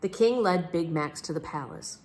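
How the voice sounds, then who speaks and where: A woman reading a children's book aloud as a storyteller, with an expressive, theatrical delivery. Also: fairly low pitch, normal speed.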